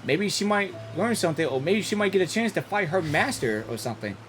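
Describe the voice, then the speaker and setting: A woman giving casual live reaction commentary as a gaming streamer, halting and slangy, often repeating herself. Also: lowish voice, animated, soft background music.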